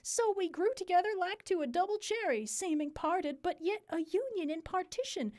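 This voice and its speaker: A woman putting on a high-pitched melodramatic Southern belle. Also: expressive, moderate pace, a little mellow. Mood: wounded, reproachful. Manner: melodramatic, declamatory. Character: wronged young Southern belle, theatrical and indignant.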